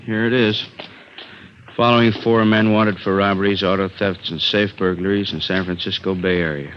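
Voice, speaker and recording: An American actor playing an easygoing, matter-of-fact police detective in a 1950s radio drama. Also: male voice, low-pitched, fairly even intonation, moderate pace, slight background noise, close to an everyday voice. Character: easygoing male police detective, a family man. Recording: muffled vintage 1950s radio broadcast.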